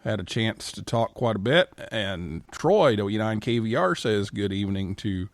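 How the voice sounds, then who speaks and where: Animated male talk show host. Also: on the low side, normal speed, no echo. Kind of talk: live radio talk show interview.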